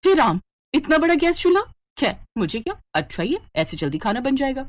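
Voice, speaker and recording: A woman's voice: high voice, big pitch swings, a little fast, a little hiss or hum, voice pushed up. A woman voicing a young, overworked daughter-in-law who mutters with exasperation and self-pity in a dramatic story style. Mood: surprised, pleased.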